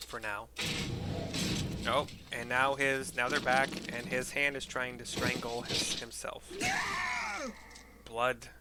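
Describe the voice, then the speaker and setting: A man's voice: medium pitch, level tone. Casual male game streamer over noisy gameplay. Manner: informal, descriptive, halting as events unfold. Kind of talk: casual play-by-play describing cutscene visuals. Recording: clear game noise behind voice.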